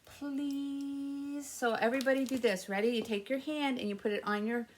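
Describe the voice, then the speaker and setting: A woman's voice: on the high side, steady tone, fast, slight background noise. A woman presenting story time for young children, reading aloud in an exaggerated sing-song way and asking the children questions.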